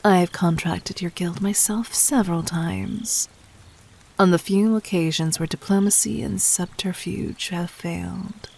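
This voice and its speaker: A very slow, gravelly-edged demon queen played by a woman for an intimate audio drama. She is husky and seductive, with poised, formal diction. Her manner is amused and condescending, always in command. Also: lowish voice, fairly even intonation, a little mellow. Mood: knowing, commanding. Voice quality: breathy, husky.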